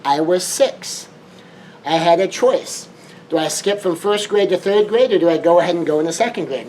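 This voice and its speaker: A man's voice: a little high, darkish tone, slight background noise, poor audio. A man from Southern California, a life coach, giving a motivational talk with repetitive, emphatic phrasing and shifting tempo.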